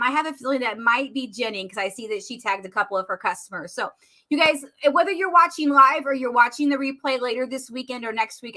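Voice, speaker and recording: A woman, chatty and bubbly, hosting a live makeup demo while losing her voice and clearing her throat. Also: sits high, pushed and bright. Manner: chatty and bubbly, addressing viewers as y'all. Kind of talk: casual live-stream makeup tutorial.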